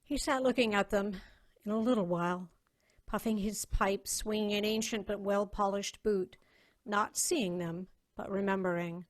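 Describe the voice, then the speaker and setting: Casting: female narrator for a science fiction reading, medium pitch, measured storyteller delivery. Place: online video call reading.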